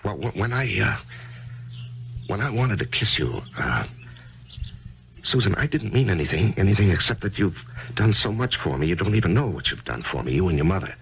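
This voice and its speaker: A man's voice: low-pitched, big pitch swings, fairly quick, vocal fry, pauses often, slight background noise, full character voice. An actor playing a grieving middle-aged man, hesitant and stammering, earnest and unsure, in a 1970s radio drama. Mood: earnest pleading. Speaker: lead character and narrator. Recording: dull old radio broadcast with music.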